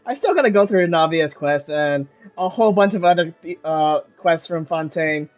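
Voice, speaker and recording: A man's voice: a little high, expressive, moderate pace. A man giving casual, offhand commentary as he streams a video game, with game music behind him.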